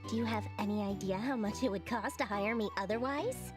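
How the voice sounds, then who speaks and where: A woman's voice: medium pitch, fairly quick, over music, close to an everyday voice. A woman voicing a small, bubbly, greedy girl merchant in a video game, shrewd and boastful. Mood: indignant, haughty. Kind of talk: animated video game voice acting.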